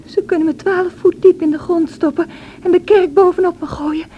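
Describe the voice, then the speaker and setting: An actress playing a feverish, wild and distraught young married woman in a radio drama, with a breathy, anguished delivery. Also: very high voice, fairly even intonation, normal speed, dull audio. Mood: delirious, yearning. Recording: noisy old radio play recording.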